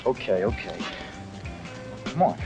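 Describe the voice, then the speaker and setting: A man's voice: lowish voice, sing-song, measured pace. A man with an American accent playing a defensive, pleading drug buyer in a 1970s training film. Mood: pleading, grumbling. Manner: defensive, casual street talk. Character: undercover buyer posing as a pleading, short-of-cash drug customer. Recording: dull 1970s film sound with music.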